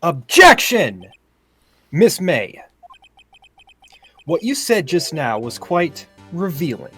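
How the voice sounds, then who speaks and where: A man's voice: fairly high pitch, sing-song, takes it slowly, a bit bright, husky, faint music behind, exaggerated voice. A man playing an earnest, snarky young defense lawyer in an amateur video game dub, declaring his points wryly. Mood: insistent.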